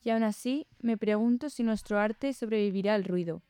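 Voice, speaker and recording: A woman's voice: medium pitch, normal speed, warm tone, smooth, no echo, clean studio sound, lightly acted. A woman playing a committed, wistful writer in an audio drama, with a composed and pensive manner. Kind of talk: scripted audio drama dialogue.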